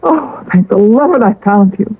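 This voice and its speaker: A woman's voice: medium pitch, lively intonation, measured pace, husky. An actress playing a frantic, protective woman in a radio drama, pleading and hesitant as she takes charge. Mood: urgent, pleading. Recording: tinny, phone-like old radio broadcast.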